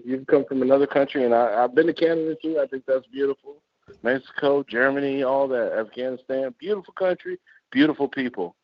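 A man's voice: medium pitch, no room sound. A man in his forties from the United States, co-hosting a podcast and talking bluntly with plenty of swearing. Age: 40s. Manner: blunt, swears freely, opinionated. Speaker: podcast co-host. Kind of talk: casual chat. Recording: muffled lo-fi sound.

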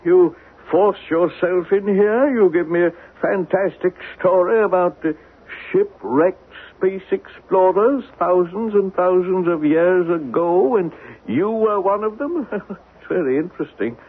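A man's voice: sits high, measured pace, breathy edge. An actor in a 1970s radio drama playing an irritable, guarded man who is curt, skeptical and sardonic. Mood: exasperated, mocking. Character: irritable, guarded, dismissive man hosting an unwanted visitor. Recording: thin narrowband old radio recording.

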